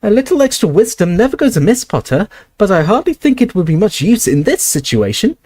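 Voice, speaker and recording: Tiny old professor, squeaky and fussy, pitched way up; a male reader doing the voice. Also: big pitch swings, brisk, darkish tone, breathy edge, no echo, clean studio sound, exaggerated voice. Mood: startled, brisk.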